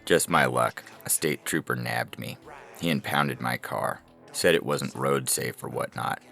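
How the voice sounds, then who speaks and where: An extremely deep, creaky male voice from an actor as a self-pitying slacker in an audio story. He grumbles in folksy, blame-shifting fashion, with a forceful edge and faint music underneath. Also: unhurried, full character voice. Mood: aggrieved, self-justifying. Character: self-pitying, blame-shifting slacker man posting his grievances online. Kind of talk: scripted audio fiction reading.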